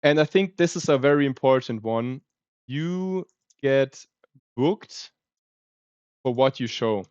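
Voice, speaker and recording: Man with a German accent, unhurried, smooth resonant voice, dry close sound, dull lo-fi recording. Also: mid-range voice, darkish tone, no room sound. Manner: rambling, thinks aloud with many fillers. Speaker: streamer. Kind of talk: casual livestream chat.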